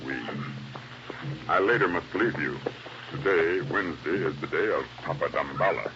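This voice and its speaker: An actor playing a huge, loyal Haitian guide with a Haitian accent, speaking simple broken English in an old radio drama. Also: male voice, low voice, moderate pace, over music, natural voice. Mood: calm, loyal. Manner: broken English, speaks of himself in third person. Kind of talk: 1950s radio drama acting. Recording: dull, noisy old radio recording.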